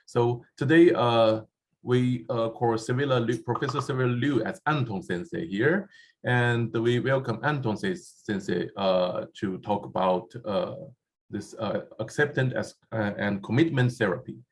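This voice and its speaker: A man whose first language is not English chairs a seminar and introduces the speaker, halting often with fillers and restarts. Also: medium pitch. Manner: halting, many fillers and restarts, laughs at himself. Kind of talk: hesitant spoken introduction. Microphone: handheld or desk microphone.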